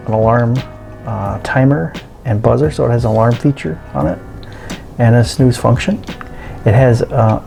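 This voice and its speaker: A man giving a casual, unscripted product review as a hobbyist, hesitant and halting as he thinks aloud. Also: medium pitch, gravelly, mellow.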